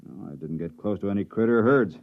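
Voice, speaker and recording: An actor playing an excitable, puzzled biologist exploring an alien planet in a 1950s sci-fi radio drama, speaking with wonder. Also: male voice, fairly low pitch, lightly acted. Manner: exclamatory, wondering, halting in amazement. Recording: muffled old radio recording.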